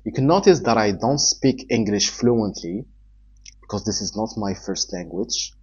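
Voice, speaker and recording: A man in his forties from Morocco, a native Arabic speaker with a Moroccan accent, giving earnest advice in halting English to a camera in a small room. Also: lowish voice, animated, darkish tone, breathy edge. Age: 40s. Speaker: online entrepreneur giving advice. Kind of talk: talking-head advice vlog. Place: small indoor room with a wall-mounted TV. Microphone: camera in front at desk distance. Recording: somewhat dull, lo-fi sound.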